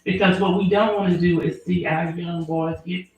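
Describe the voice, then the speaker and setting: A woman attorney, answering interview questions in an earnest, measured way with frequent fillers. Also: on the low side, fairly even intonation. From Alabama, US. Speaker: attorney and former prosecutor. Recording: very echoey room.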